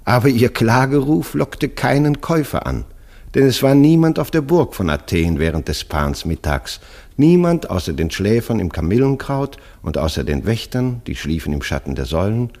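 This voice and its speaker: A man narrating a literary radio play in a husky voice, with lyrical, expressive storytelling. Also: medium pitch, normal speed.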